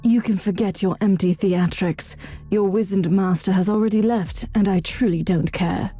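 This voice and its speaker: A woman's voice: medium pitch, fairly even intonation, moderate pace, dark tone, faint music behind, lightly acted. An actress playing a stern, zealous woman inquisitor in a video game. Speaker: inquisitor, player character.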